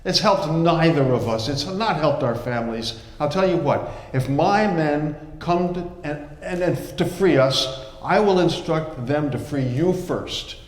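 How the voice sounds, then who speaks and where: A man's voice: a little high, expressive, a little slow. A man playing a proud landowner pinned in the woods, speaking earnestly to his rival. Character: proud trapped landowner man, turning conciliatory. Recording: echoey hall with long reverb.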